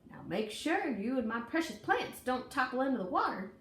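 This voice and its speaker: Excitable storybook pioneer father, acted by a woman, raised high voice, dramatic exclamations, fretful over his fruit trees, roomy distant recording. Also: normal speed, heavily acted. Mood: anxious, urgent. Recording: roomy, distant mic, faint noise.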